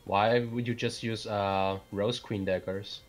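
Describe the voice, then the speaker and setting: A man's voice: fairly low pitch, steady tone, slow, faint music behind. A man co-hosting a casual gaming podcast, curious and teasing, asking a lot of questions. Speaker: podcast co-host.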